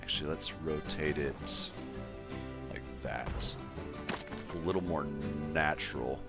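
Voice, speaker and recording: A man with a deep voice casually chatting to his viewers as he builds in a game livestream, thinking aloud in an easygoing way. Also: slow pace, gravelly, loud music behind the voice. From Colorado, USA. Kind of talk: casual gameplay livestream chat.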